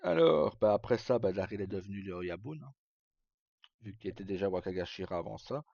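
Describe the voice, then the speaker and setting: A dull, dry recording of a man with a fairly low, mellow voice. He talks casually like a streamer recounting old roleplay stories. His delivery rambles and halts, with words repeated as he searches for the next one. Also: expressive, creaky, no room sound. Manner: halting, rambling, frequent self-repetition. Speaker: livestream host.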